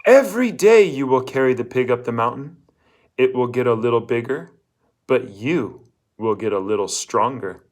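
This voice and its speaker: A man's voice: mid-range voice, expressive, normal speed, a little mellow, natural voice. Man playing a blunt, bossy old woman fortune-teller. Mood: instructing. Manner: emphatic, instructing, asks rhetorical questions.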